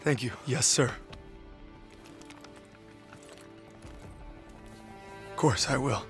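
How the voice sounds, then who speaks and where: A man's voice: mid-range voice, fairly quick, a bit bright, soft background music, lightly acted. An actor playing a loyal, anxious young American infantryman in a war game, earnest and intense. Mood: humble. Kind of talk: cinematic war game acting.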